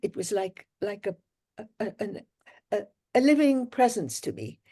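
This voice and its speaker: An older woman from Germany speaking as an interview guest. Her voice is mellow and warm, and she recalls her memories slowly and with care, pausing now and then. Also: medium pitch, very animated. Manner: reflective, measured, with pauses. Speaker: guest, Holocaust survivor. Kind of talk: interview storytelling.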